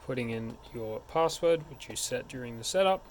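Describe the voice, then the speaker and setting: A man's voice: medium pitch, lively intonation, normal speed. A man narrating a hands-on technical tutorial in an informal, step-by-step way. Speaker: tech support instructor. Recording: clear background noise.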